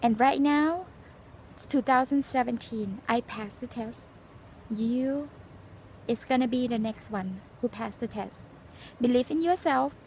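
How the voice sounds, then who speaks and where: Forties Thai woman with a Thai accent, English her second language; high, earnest, halting vlog delivery. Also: darkish tone, a little hiss or hum, rough recording. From Thailand. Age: 40s. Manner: earnest, halting, frequent fillers and restarts. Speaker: nurse sharing exam experience. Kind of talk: casual personal vlog, storytelling and advice.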